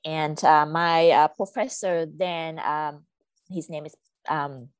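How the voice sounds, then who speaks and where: A woman's voice: sits low, fairly even intonation, slow, clean tone, dry recording. A woman in her thirties from Vietnam, an academic guest answering interview questions in a hesitant, thoughtful, conversational way. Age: 30s. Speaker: academic author, podcast guest.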